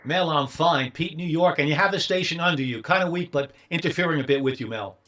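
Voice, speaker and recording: A man's voice: on the high side, fairly quick, a bit bright. A man working as an amateur radio operator, making repetitive contest calls using the phonetic alphabet.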